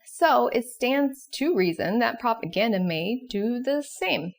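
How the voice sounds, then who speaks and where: A woman presenting educational material in scripted explanatory narration with casual asides, expressive and questioning. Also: a little high, a little slow, vocal fry. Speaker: presenter of educational psychology videos.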